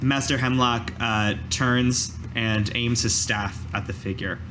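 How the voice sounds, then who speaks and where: A man narrating a tabletop roleplaying game as game master, in a halting way with pauses mid-sentence. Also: mid-range voice, fairly even intonation, noisy background.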